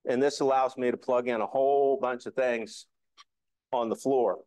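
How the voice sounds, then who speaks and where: A man's voice: medium pitch, fairly even intonation, moderate pace, darkish tone, smooth, dry recording. A man presenting an informal slide talk to a hobby club, explaining things in a folksy, joking, hands-on way. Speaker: amateur radio club presenter.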